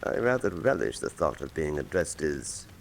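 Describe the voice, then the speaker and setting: Smug villain professor in a radio drama, theatrical, ruthless, very lively swooping delivery. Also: male voice, rumbling low, moderate pace, creaky, slight background noise, heavily acted. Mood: smug relish. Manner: pompous, mock-courteous, theatrical. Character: urbane, ruthless criminal mastermind, male professor.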